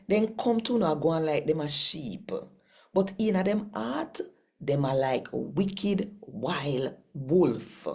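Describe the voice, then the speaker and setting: A Jamaican woman hosting a daily Bible reading, earnest and unhurried, murmuring agreement to herself. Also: sits low, a little mellow. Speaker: scripture reader.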